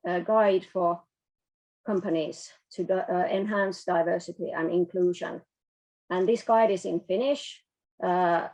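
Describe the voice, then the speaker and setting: Woman host, slightly low voice, upbeat and encouraging, presenting announcements. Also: darkish tone, poor audio. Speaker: event host. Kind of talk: event announcements.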